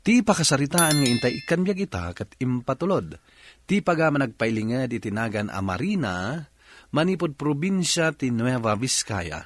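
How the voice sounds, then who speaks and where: A man's voice: mid-range voice, sing-song, normal speed, no room sound. A man hosting a radio drama, reading a listener's letter as scripted narration.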